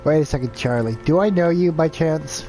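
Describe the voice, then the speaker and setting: A man's voice: on the high side, moderate pace, dark tone, soft background music, low-quality recording. A man streaming a video game live, chatting casually and thinking aloud in a rambling, self-deprecating way. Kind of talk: casual gameplay commentary.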